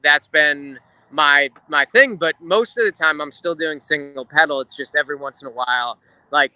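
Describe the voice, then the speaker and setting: A man's voice: a little high, moderate pace, bright, forceful tone, clear, resonant tone, dry recording, low-quality recording. A man talking casually as an interview guest, a drummer explaining his craft. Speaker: guest, rock drummer and drum teacher.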